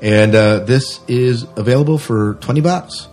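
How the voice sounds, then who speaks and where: A man's voice: medium pitch, a little slow, a little mellow, soft background music. A man hosting a podcast in a casual, conversational style. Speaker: podcast host.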